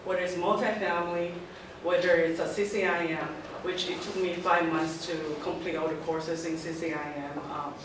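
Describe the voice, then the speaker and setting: A middle-aged woman from Taiwan speaks to a group of students, her phrases halting and often starting over. She is picked up from a distance in a strongly echoing room. Steady background noise runs underneath. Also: lowish voice. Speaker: guest speaker. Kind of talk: informal talk to a student group. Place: lecture room. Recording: very echoey room, mic far off, background noise.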